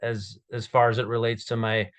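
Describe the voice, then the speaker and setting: A man in his thirties from Minnesota who stutters, telling his personal story to a webcam in a small dark room, with repeated sounds, blocks and many fillers. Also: mid-range voice, level tone, normal speed. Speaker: guest speaker. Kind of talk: personal story told to camera.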